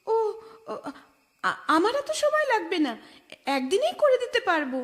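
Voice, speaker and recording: Drowsy young cartoon maiden voiced by a woman: very high pitch, unhurried plaintive girlish delivery. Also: sing-song, very noisy, exaggerated voice. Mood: nonchalant. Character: drowsy, carefree young village girl given spinning work. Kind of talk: cartoon fairy-tale acting.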